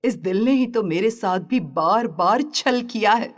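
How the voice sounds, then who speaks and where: An actress playing a dignified, sorrowful heroine torn in love, solemn and emotive, in an audio story. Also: female voice, mid-range voice, lively intonation, unhurried, natural voice. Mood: wistful. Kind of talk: Hindi literary audio story drama.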